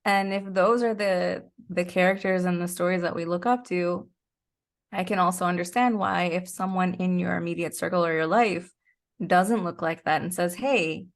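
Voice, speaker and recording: Female interview guest. Slightly low voice with clear fry, conversational, thoughtful delivery that feels its way through ideas. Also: steady tone, moderate pace. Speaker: interview guest, actor and podcaster.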